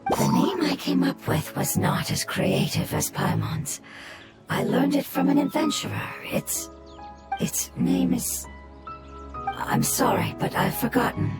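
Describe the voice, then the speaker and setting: An actor voicing an ancient nature being who cares for fungi and speaks in a calm, measured and wistful way, in video game dialogue. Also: high-pitched. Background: loud game music.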